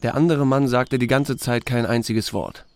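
An actor playing a dry, steady small-town sheriff, narrating and talking in a radio drama. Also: male voice, medium pitch, normal speed, dry recording, natural voice. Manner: dry, understated. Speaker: narrator and lead character.

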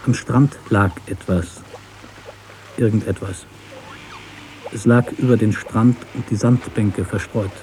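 A man narrating a literary story for radio in a measured, deliberate way. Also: on the low side, steady tone, a little mellow, a little hiss or hum.

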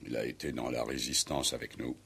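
Pompous old commander, deep husky voice. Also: male voice, steady tone, a little fast, a bit bright, full character voice. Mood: guarded. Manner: pompous, indignant, emphatic. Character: proud, eccentric ageing village war veteran, pompous ex-Resistance commander. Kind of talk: 1960s French radio play acting.

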